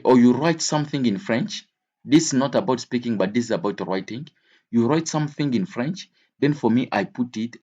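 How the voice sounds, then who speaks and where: A man gives a vocabulary lesson in a somewhat husky, mid-pitched voice. He explains terms with simple examples and repeats key words. The recording sounds slightly dull and lo-fi. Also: a little mellow. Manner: didactic, repeats key words slowly and clearly; switches between English, Swahili and French. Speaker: English teacher.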